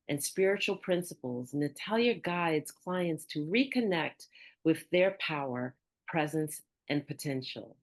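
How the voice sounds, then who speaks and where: Woman, podcast host, lowish voice, presenting and interviewing. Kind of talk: scripted introduction, then conversational interviewing.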